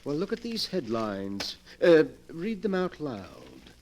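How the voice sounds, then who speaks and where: An actor playing a sly, imperious wealthy collector of grim relics in a 1940s radio drama. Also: male voice, fairly high pitch, big pitch swings, a little mellow, slight background noise, heavily acted. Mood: sly, smug. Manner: imperious, deliberate, ominous. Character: sly, wealthy wheelchair-bound male collector of murder relics. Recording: old 1940s radio broadcast.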